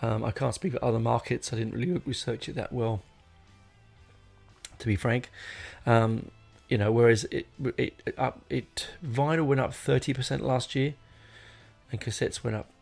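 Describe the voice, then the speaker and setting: A middle-aged man chats solo as a podcast host, with an average-pitched voice full of gravelly creak. He talks off the cuff, drifting into asides and rewording himself as he goes. Music plays behind him. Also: steady tone, normal speed, a little mellow. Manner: rambling, digressive, self-correcting. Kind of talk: casual unscripted solo podcast chat.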